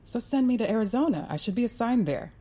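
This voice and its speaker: A determined federal agent. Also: female voice, a little high, expressive, quick pace, mellow, husky. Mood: insistent. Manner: earnest, insistent.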